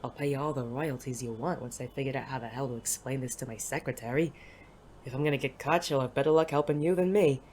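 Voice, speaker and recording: An actor playing a world-weary, wisecracking private detective in an audio drama, dry, sardonic and casually profane, with a soft spot underneath. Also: mid-range voice, fast talker, slight background noise, lightly acted. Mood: dry, teasing.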